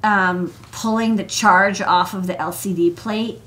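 A woman's voice: mid-range voice, fairly even intonation, a little slow, a bit bright. An American woman hosting a technical livestream, chatting informally about electronics with plenty of casual asides. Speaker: host, electronics engineer. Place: indoor desk workspace.

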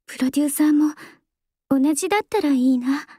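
An actress voicing a young female idol singer in a game, calm and composed, soft-spoken and earnest. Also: high voice, fast talker, no room sound, studio quality, natural voice. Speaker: game character voice. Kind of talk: game voice lines. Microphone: close studio mic, dry.

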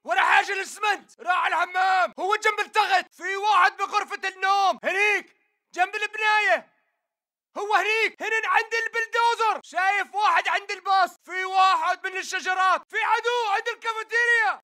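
Aggressive Levantine militant for a shooter game: urgent shouted callouts, very high and piercing. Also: male voice, fairly quick, full character voice. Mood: urgent, alert. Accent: Levantine Arabic. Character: aggressive male militant fighter in combat. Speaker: enemy soldier voice. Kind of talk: video game battle chatter, shouted.

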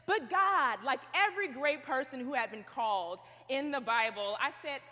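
A woman's voice: sits high, animated, normal speed, sharp tone. A young woman preaching a sermon, telling stories animatedly and acting out what she says. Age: young adult. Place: large stone chapel. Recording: long hall reverb.

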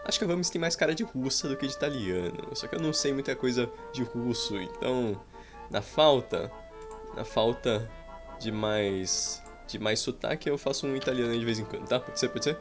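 A young man with a Brazilian Portuguese accent giving playful, rambling gameplay commentary with roleplay jokes and sudden excited outbursts, recorded indoors at home. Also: medium pitch, normal speed. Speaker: gameplay streamer. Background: faint game music.

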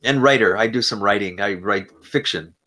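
A man's voice: lowish voice, moderate pace, sharp tone. A man working as a conversation teacher, chatting casually and asking questions.

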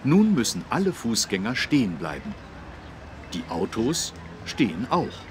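A man's voice: fairly low pitch, wildly swooping pitch, unhurried. An actor playing a friendly policeman who patiently explains things to children in a kids' game. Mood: patient, instructive. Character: friendly adult male policeman explaining road safety. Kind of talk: scripted children's game dialogue. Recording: street traffic noise behind. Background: city street traffic.

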